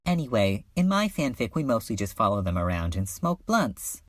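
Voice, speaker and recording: A man's voice: on the low side, sing-song, moderate pace, fairly bright tone, no room sound, studio quality. A man voicing a slangy, enthusiastic geek who rambles about fanfiction in a webcomic fan dub. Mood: casual storytelling. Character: casual slangy geek excitedly telling and discussing fanfiction. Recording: music under the voice.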